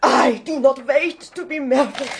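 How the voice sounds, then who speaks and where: Panicky foreign maid, very high-pitched, melodramatic, broken English, radio drama, noisy recording. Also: female voice, a little slow. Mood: agitated fear.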